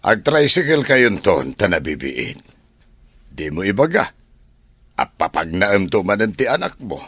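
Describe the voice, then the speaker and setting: A man playing an easygoing, considerate employer in a radio drama, with a husky voice. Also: lowish voice, big pitch swings, a little slow, a bit bright, no echo, heavily acted. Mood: easygoing, concerned. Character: easygoing, considerate male employer, master of the house. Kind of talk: radio drama acting in dialogue.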